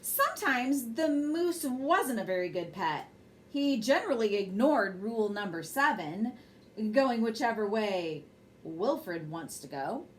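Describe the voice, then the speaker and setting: Lady reading a kids bedtime book, takes it slow and expressive, bit of background noise. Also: on the high side. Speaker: storyteller reading a children's picture book. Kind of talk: bedtime story read aloud.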